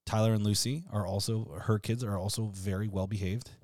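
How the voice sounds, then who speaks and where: A man in his thirties with a deep voice, hosting a casual podcast chat in a relaxed, freely swearing way. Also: a little fast, no echo, clean studio sound. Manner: laid-back, swears freely, laughs often. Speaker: podcast host.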